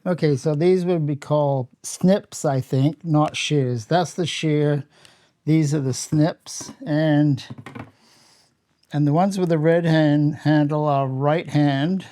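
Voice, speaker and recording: A man's voice: a little high, fairly even intonation, slow, mellow, slight background noise. A man with a Canadian accent casually explaining hands-on workshop steps as he works, plain-spoken and matter-of-fact. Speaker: host of a workshop how-to channel.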